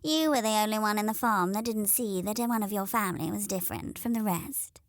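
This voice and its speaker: A woman's voice: mid-range voice, a little fast, no room sound, studio quality, close to an everyday voice. A woman voicing a frank, matter-of-fact farmyard hen in a children's storybook, with a blunt, know-it-all manner.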